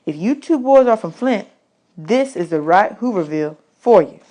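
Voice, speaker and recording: A woman's voice: medium pitch, animated, moderate pace, warm tone, natural voice. A woman voicing a folksy drifter man in a storybook hobo camp, plainspoken and advising. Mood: weary, advising.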